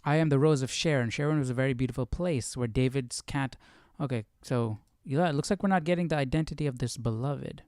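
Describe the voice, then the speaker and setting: A man hosting a live Bible study, reading and commenting casually in a musing, questioning, halting way. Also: mid-range voice, a little mellow, dry recording, studio quality.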